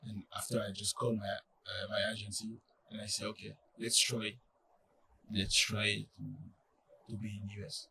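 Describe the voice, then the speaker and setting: A man's voice: fairly low pitch, level tone, sharp tone, recorded from a distance, slight background noise, low-quality recording. French-accented young man, hesitant speech. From France. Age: young adult. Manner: halting, many fillers and restarts. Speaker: college soccer player, guest. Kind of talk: casual interview.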